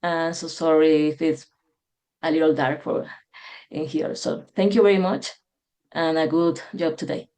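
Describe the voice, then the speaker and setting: A woman's voice: low voice, level tone, a little slow, dark tone. A woman from Ecuador joining a meeting by video call, introducing herself hesitantly with many fillers. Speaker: new board member, online. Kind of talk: brief self-introduction.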